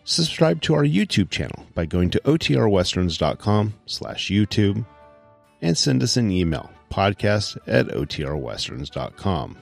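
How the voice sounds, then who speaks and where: Male announcer for podcast sign-off, low and creaky, scripted read over music. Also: sing-song, normal speed.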